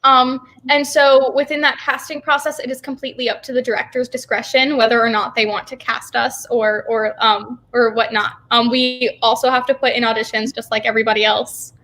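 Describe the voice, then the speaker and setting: A woman's voice: fairly high pitch, flat delivery, fairly quick, few pauses, fairly bright tone. A young woman talking casually as an interview guest, informal and enthusiastic, peppering her sentences with "like". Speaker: theater company co-founder, interview guest.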